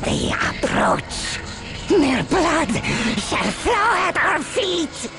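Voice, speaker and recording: A woman's voice: high-pitched, very animated, drawn-out words, gravelly, heavily acted. A woman acting a bloodthirsty, gloating chaos fanatic in a video game, with fanatical, ecstatic delivery and music behind. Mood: fanatical bloodlust.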